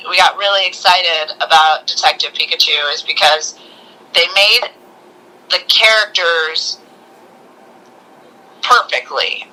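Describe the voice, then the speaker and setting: A woman co-hosting a casual movie discussion, talking in an excitable way and acting out little quotes. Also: fairly low pitch, rough recording. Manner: excitable, acts out little quotes as she talks. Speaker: co-host of a movie review show.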